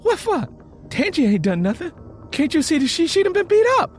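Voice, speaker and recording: A man's voice: extremely high pitch, very animated, a little fast, soft background music, pitched above the performer's own voice. A man voicing a brave, protective wife and mother with a Southern US accent, pleading emphatically in a quaking voice in an audio story. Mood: indignant, urgent.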